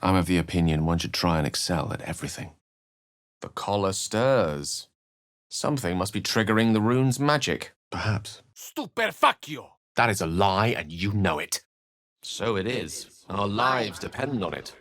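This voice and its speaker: Low-voiced, urbane British wizard. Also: male voice, big pitch swings, normal speed, a bit bright, dry recording, studio quality. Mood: measured storytelling, then incantatory. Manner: articulate, bookish, theatrical phrasing. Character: eloquent, urbane male wizard, wry and courteous. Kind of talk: video game character voice acting.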